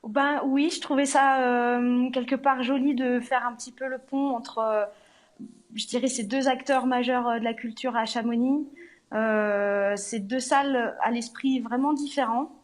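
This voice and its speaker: Woman singer, guest interview at a venue; slightly high, hesitant, many fillers. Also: fairly even intonation, unhurried. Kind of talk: live interview on location. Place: arts centre venue.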